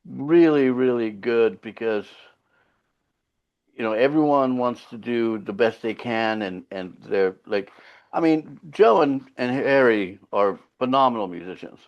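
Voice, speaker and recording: A Finnish-born singer whose first language is Finland Swedish, telling stories in a loose, profane way as an interview guest. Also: male voice, medium pitch, measured pace. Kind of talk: casual interview chat. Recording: slightly dull lo-fi sound.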